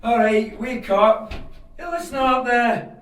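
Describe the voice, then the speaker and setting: A man's voice: extremely high pitch, animated, slow, a bit bright, exaggerated voice. An actor playing a coarse, bullying, agitated castle guard in a theatrical horror audio play, blunt and urgent, repeating his words. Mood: gruff, impatient. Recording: very echoey, distant, faint music behind.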